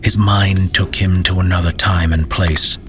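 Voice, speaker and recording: Very deep-voiced male story narrator. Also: level tone, a little slow. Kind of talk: scripted fantasy audiobook narration.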